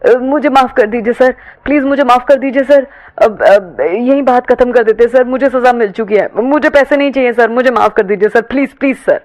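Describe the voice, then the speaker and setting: A woman's voice: on the high side, brisk, few pauses, darkish tone, dry recording, pitched above the performer's own voice, lightly acted, low-quality recording. A woman voicing a frightened male driver in an audio story, pleading and stammering as he begs for mercy. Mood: terrified begging.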